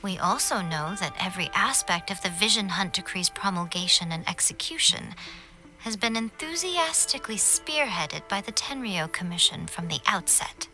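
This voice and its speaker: Scheming shrine priestess from a game, playful and poised, bright voice, really lilting. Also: female voice, mid-range voice, lively intonation, soft background music, rough recording. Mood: analytical. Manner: composed, teasing, deliberate. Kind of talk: video game cutscene dialogue.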